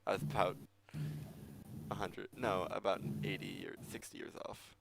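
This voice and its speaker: A man with a gravelly voice running a tabletop game on stream, chatting casually in a rambling, joking way and acting out imaginary dialogue. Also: deep voice, normal speed, heavy background noise. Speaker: game master.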